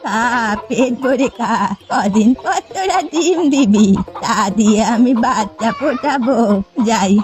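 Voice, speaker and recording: A woman's voice: a little high, expressive, a little slow, darkish tone. A woman voicing a feisty, doting old village woman in a folk-tale cartoon, with a dramatic, wailing and scolding delivery.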